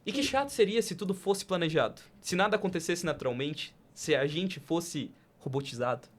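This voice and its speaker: Brazilian man hosting a podcast, deliberate phrasing. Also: a little high. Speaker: podcast host. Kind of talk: casual podcast interviewing.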